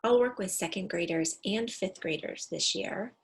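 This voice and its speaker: A middle-aged woman speaks as a teacher introducing herself to families. Her voice is of medium pitch, and her delivery is prepared and orderly. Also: moderate pace, gravelly. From California. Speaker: English language development teacher.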